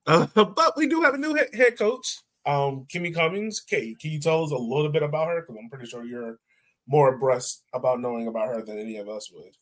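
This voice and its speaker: A man's voice: on the high side, animated, a little fast, fairly bright tone. A man hosting a sports podcast, chatting casually in a folksy, colloquial way. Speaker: podcast host.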